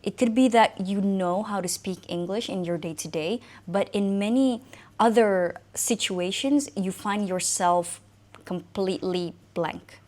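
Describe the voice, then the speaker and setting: A woman's voice: medium pitch, a little slow, a little mellow. A woman in her thirties from Indonesia, whose first language is Indonesian, speaking as an English coach who tells her own story to the camera in a reflective, conversational way. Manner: conversational, reflective, frequent "you know" and "like". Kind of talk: personal talk to camera.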